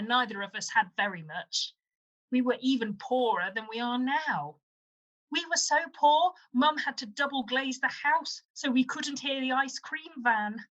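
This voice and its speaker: A woman's voice: high voice, bright, forceful tone, heavily acted, rough recording. A woman playing a cheeky, envious young daughter in a radio pantomime, with a chatty comic delivery.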